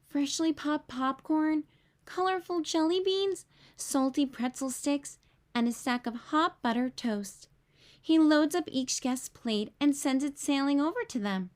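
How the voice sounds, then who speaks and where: Female storyteller, high-pitched, expressive read-aloud for young children. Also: a little slow.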